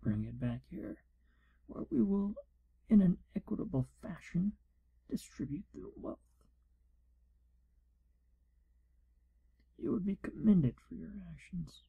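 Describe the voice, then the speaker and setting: A man playing a pompous, evasive wizard proctor in an ASMR fantasy role play, speaking in a hushed, breathy half-whisper. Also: high voice, big pitch swings, moderate pace, narrow, tinny audio, exaggerated voice. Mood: grave, theatrical. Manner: pompous, wry, evasive, theatrical storytelling. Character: pompous, shifty male wizard proctor giving an exam.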